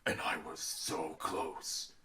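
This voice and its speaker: A man voicing a bitter, unhinged undead killer animatronic father telling an ASMR bedtime story, gravelly and theatrical, moving between menacing murmurs and outraged outbursts. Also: rumbling low, completely flat delivery, slow, bright, forceful tone, a little hiss or hum, exaggerated voice. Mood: bitter, quiet.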